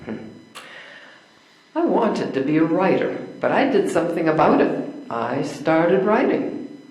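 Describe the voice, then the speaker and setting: An older man playing a frank, independent schoolteacher woman in a measured stage play reading. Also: a little high, sing-song, a little mellow. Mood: reflective. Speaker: amateur readers theater performer. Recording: echoey room.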